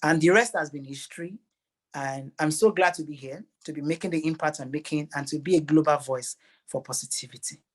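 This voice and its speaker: A man's voice: a little high, a little fast. A man with a Nigerian accent speaking earnestly and emphatically as a motivational interview guest, repeating phrases for effect.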